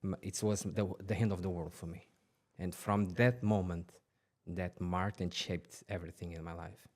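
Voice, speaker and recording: A man in his forties from Portugal, a podcast guest telling his life story in an earnest, halting way with many restarts. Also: deep voice, steady tone, a little mellow.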